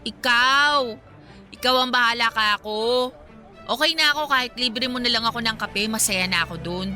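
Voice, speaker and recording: A woman's voice: on the high side, moderate pace, pushed and bright, faint music behind. An actress playing a friendly, teasing young woman chatting with her best friend in a Filipino radio drama. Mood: playfully teasing.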